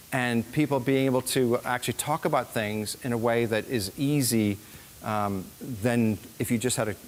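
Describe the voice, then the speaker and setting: A man of average pitch giving a relaxed, conversational talk to a church gathering, the leader of a small community group. He hesitates and restarts his phrases often in an easygoing way. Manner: conversational, with frequent fillers and restarts. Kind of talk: informal talk to a congregation.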